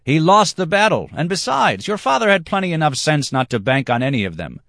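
A man voicing a scornful young male dancer who shouts over the music from the dance floor. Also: on the high side, big pitch swings, brisk, creaky, dry recording, clean studio sound, voice pushed up, full character voice.

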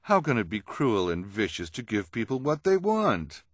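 Male narrator as scheming storybook woman, condescending, coaxing, emphatic, very lively intonation. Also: fairly low pitch, normal speed, dry recording, voice pushed up. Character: scheming, smooth-talking villainous woman, persuasive and haughty.

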